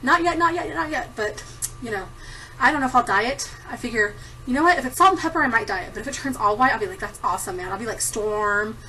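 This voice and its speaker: A woman's voice: fairly high pitch, animated, fast, sharp tone, recorded from a distance, slight background noise, poor audio. A woman in her thirties chatting casually to camera, giggling often and rambling with lots of ums. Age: 30s. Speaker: vlogger answering a tag. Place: home room at a desktop computer. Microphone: computer camera mic.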